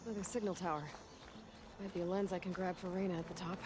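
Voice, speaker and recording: An actress playing a focused, matter-of-fact young adventurer in a video game, muttering observations to herself. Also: female voice, lowish voice, fairly even intonation, fast talker, background noise, lightly acted. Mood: focused, musing. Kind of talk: video game character voice acting. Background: game music.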